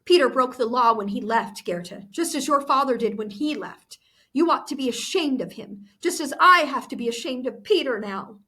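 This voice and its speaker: A woman's voice: high voice, expressive, normal speed, pushed and bright, breathy edge, full character voice. A woman voicing an angry, hurt twelve-year-old girl in a book read-aloud, accusing and emphatic. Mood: indignant.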